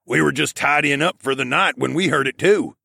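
A man voicing a gruff raccoon man with a rough, gravelly voice in an audiobook. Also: a little high, fairly quick, pushed and bright, no room sound, studio quality, pitched above the performer's own voice, heavily acted.

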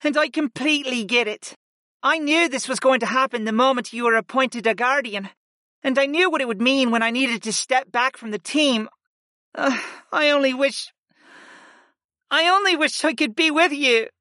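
Male narrator doing a tender young woman mage, high-pitched and crying softly; audiobook character voice, dry close sound. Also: normal speed, no room sound. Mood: tearful. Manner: soft, tearful and pleading. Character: tearful young woman mage, affectionate and emotional.

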